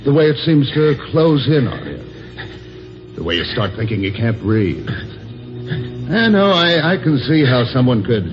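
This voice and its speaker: An actor playing a cruel man who taunts a coworker about the dark, speaking softly and menacingly in 1950s radio style. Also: mid-range voice, very animated. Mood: sinister, taunting. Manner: soft, slow and menacing, then mocking calls. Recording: dull old radio broadcast with music.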